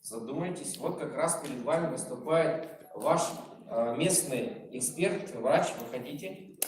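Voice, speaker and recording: A woman's voice: deep voice, a little slow, darkish tone. A woman presenting at a live event and introducing a speaker, recorded from a distance in a room. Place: echoey indoor presentation room. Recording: very echoey room, distant microphone.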